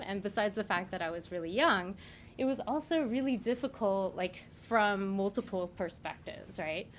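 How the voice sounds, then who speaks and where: A woman's voice: medium pitch, slight background noise. Chatty Korean-born woman giving a talk. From South Korea. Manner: chatty, frequent "like" and "right?", laughs between points. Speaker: meetup speaker. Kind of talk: live conference talk. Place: event room before a small audience.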